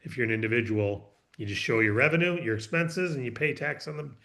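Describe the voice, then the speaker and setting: A man's voice: medium pitch, lively intonation, fast talker, fairly bright tone. A man hosting a business training call, explaining the steps patiently and repeating key points in a casual, reassuring way.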